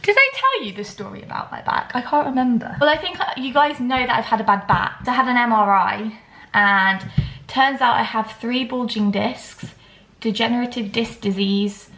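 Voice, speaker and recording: A young woman of about twenty, chatting to camera at home in a rambling, self-deprecating way, her voice still slightly croaky. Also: fairly high pitch, expressive, normal speed, fairly bright tone, poor audio. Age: around 20. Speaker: vlogger. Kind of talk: casual chat to camera.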